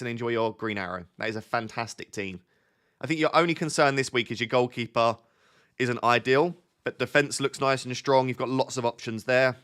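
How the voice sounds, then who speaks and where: Male stream host, a little sniffly from a cold, middle-pitched, chatty and quick to restart his lines. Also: a bit bright, clear, resonant tone. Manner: chatty, thinks aloud, restarts sentences often. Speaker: livestream host answering viewer chat. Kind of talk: casual live-stream chat.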